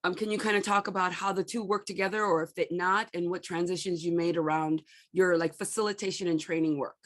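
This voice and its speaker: A woman's voice: on the low side, steady tone, fairly quick. A woman hosting an interview, introducing her guest and asking probing follow-up questions. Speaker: host.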